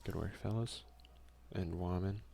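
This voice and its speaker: A soft-spoken man doing an ASMR let's play, reading game text aloud and commenting in a hushed voice that at times slips into a whisper. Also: sits low, fairly even intonation, unhurried, gravelly, a little hiss or hum. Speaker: gaming video creator and player.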